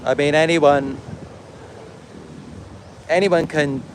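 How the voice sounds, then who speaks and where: Male livestreamer, Welsh accent, voice a bit on the high side, loose rambling delivery, light street noise behind. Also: fairly even intonation, slow. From Wales. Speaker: livestream host and content creator. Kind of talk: casual livestream monologue, answering viewer comments.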